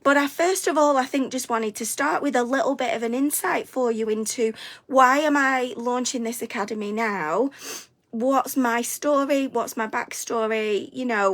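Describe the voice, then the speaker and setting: Hoarse, chatty woman in her forties. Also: on the high side. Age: 40s. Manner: chatty and upbeat, stumbling over words. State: hoarse, slightly congested from a cold. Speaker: host of a weekly live stream. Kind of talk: live stream chat to viewers.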